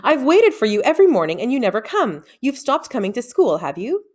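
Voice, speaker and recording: A sharp-tongued, bossy student girl acted out by a woman reader. She challenges briskly and crossly, with big swings in intonation, in a fantasy storybook. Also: fairly high pitch, big pitch swings, pitched above the performer's own voice.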